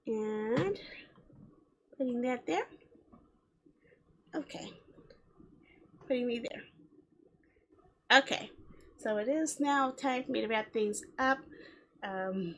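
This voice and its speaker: A woman's voice: medium pitch, slight background noise. A woman streaming a game, chatting casually and reading story text aloud in a playful way. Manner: giggly, playful, chattering to herself. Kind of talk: casual livestream chat with visual novel read-alouds.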